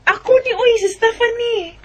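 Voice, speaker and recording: A woman's voice: extremely high pitch, steady tone, normal speed, noisy background. A woman with a Cebuano Filipino accent playing an insistent young woman confronting an old lover in an amateur radio drama. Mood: friendly, expectant.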